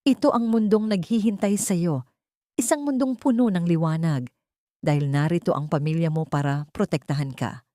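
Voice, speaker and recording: A woman voicing a tender young mother speaking softly to her baby. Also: on the low side, normal speed, no room sound, clean studio sound, natural voice. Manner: soft and tender.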